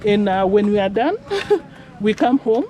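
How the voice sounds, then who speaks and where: A woman's voice: medium pitch, measured pace, warm tone. A woman chatting as a village vlogger, explaining her farm work as she goes and laughing often. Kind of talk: casual walk-and-talk vlog. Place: outdoor farm field in the rain.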